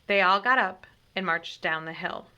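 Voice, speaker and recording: A woman reading a children's story aloud in an expressive, deliberate way, like a teacher. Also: lowish voice, dull audio, a little hiss or hum.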